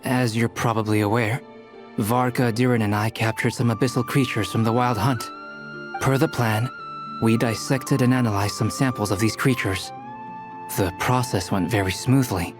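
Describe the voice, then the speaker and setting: Actor as scholarly researcher for a video game: medium pitch, formal, analytical, polite, clear music bed. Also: male voice, steady tone, moderate pace, lightly acted. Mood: measured, analytical. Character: polite, scholarly young male researcher absorbed in theory. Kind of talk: English video game dub acting.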